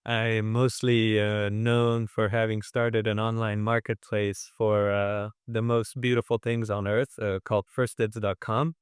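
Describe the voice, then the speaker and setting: A man with French as his first language, who grew up in France, speaks with a smooth, resonant voice. He talks in a measured way, pausing on fillers as he tells his story. The sound is dry and close. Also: fairly low pitch, flat delivery, dry recording. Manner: measured, many fillers and restarts. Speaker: guest, restaurant owner. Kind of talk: casual interview chat.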